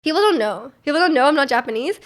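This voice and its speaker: A young woman from Canada with a high, light voice chats loosely to her stream audience. She rambles and giggles, filling her talk with "like" and quick restarts. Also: expressive. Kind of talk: casual chat.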